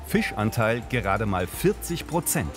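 A man's voice: lowish voice, very animated, a little slow, music in the background. A man narrating a consumer documentary in a matter-of-fact scripted voice.

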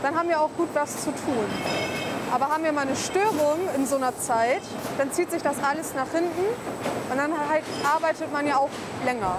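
High-voiced woman over loud warehouse machinery. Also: fairly quick, heavy background noise. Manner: matter-of-fact explaining of her job. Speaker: warehouse logistics worker. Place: parcel warehouse.

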